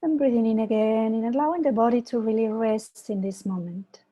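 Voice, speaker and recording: A woman from Peru, a mindfulness teacher, giving an online talk and gently guiding a meditation over a video call. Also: on the high side, lo-fi sound. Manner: slow, soft guiding voice with gentle invitations. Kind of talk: online presentation and guided meditation.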